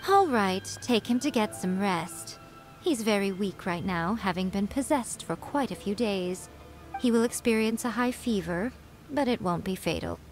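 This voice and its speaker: A woman's voice: medium pitch, animated, normal speed, soft background music, lightly acted. A woman voicing a sly, wise fox-spirit shrine priestess in a video game, composed and lightly teasing as she lectures.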